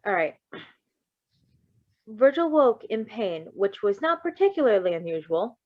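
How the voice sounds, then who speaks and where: A woman reading fan fiction aloud with casual asides, expressive and emotionally invested in the story. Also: mid-range voice, measured pace, no room sound, narrow, tinny audio.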